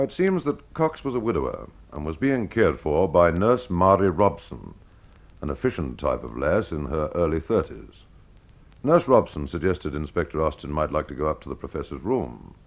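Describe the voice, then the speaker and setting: A man hosting and narrating a scripted detective mystery. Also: low voice. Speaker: host and storyteller.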